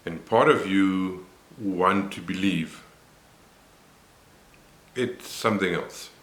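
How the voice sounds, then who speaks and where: Deep-voiced older Afrikaans-man. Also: fairly bright tone, reverberant. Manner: unhurried, reflective, many pauses and fillers. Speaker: vlogger. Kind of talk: personal health vlog.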